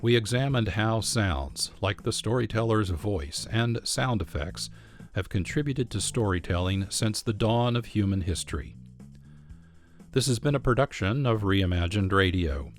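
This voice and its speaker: An American man hosting a radio program, reading scripted narration in a measured, clearly phrased manner. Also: fairly low pitch, animated. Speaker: radio host and producer. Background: music.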